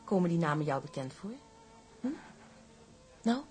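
A woman's voice: on the low side, expressive, moderate pace, darkish tone, soft background music, lightly acted. An actress playing a passionate, lovestruck female newspaper reporter in a Dutch drama, emotional and intense. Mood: cool, probing. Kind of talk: Dutch broadcast drama acting.

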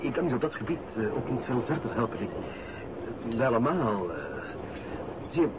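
A nervous civil servant, played by a man hesitates and dodges in a radio drama. His mid-range voice is muffled under loud vehicle noise. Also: medium pitch, animated, natural voice. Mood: flustered, evasive. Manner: halting, stammering, evasive. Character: nervous, evasive male civil servant colleague. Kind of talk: radio play acting.

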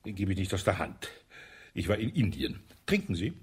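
An actor playing a blustery, middle-aged colonel and doting husband in a German radio drama, with a husky voice. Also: male voice, low-pitched, huge pitch swings, fairly quick, exaggerated voice. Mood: brisk, stern.

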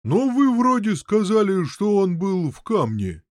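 A pompous old knight, played by a male reader, high in pitch and very animated. He blusters and grumbles his way through a storybook tale. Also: measured pace, dry recording, studio quality. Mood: grumbling.

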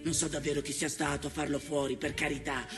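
A man's voice: fairly high pitch, little pitch movement, fast, music in the background, lightly acted. A man playing the ghost of a pompous, scornful old general in a theatrical monologue, defensive and emphatic. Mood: scornful, evasive. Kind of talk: theatrical stage monologue with music.

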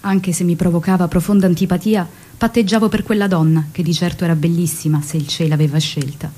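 A woman's voice: lowish voice, steady tone, fast, dark tone, close to an everyday voice. An actress playing a revolutionary's young secretary and lover who recalls their story in an intimate, theatrical way, with music behind her. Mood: wistful, intimate. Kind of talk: Italian stage drama acting and memoir narration.

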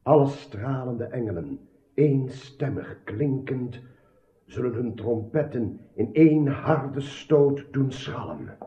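An actor playing a solemn, troubled king in a radio drama, speaking gravely in halting, declamatory phrases. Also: mid-range voice, animated. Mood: exalted, proclaiming. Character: solemn, troubled ageing king haunted by dreams. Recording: echoey, dull old radio recording.

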